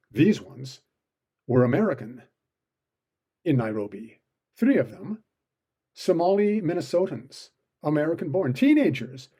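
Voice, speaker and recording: A man's voice: mid-range voice, warm tone, husky. A man delivering an opinion commentary monologue, full of sardonic, sarcastic asides.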